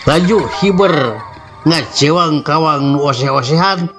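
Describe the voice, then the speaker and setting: A male storyteller with a Sundanese accent narrates horror at a slow, deliberate pace. His delivery is dramatic, and music plays clearly underneath. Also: fairly high pitch, a bit bright. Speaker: storyteller, channel host. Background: background music.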